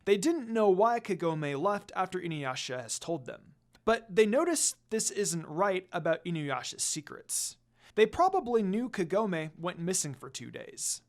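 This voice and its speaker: A narrator reading a fan fiction story aloud in an expressive amateur storytelling style. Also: medium pitch.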